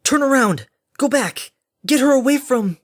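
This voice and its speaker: A woman's voice: lowish voice, expressive, moderate pace, voice pushed up. Woman as a brash, blunt pegasus mare. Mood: frantic warning. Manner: blunt, folksy, exasperated. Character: brash, gruff-talking female pegasus adventurer pony. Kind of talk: animated audiobook character acting.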